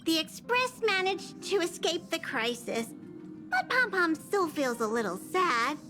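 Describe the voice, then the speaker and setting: Tiny cute creature conductor, extremely high, bright, earnest, childlike. Video game. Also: female voice, sing-song, measured pace, exaggerated voice. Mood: wistful. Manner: refers to self in third person. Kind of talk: video game character dialogue. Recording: game music behind the voice. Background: soft game music.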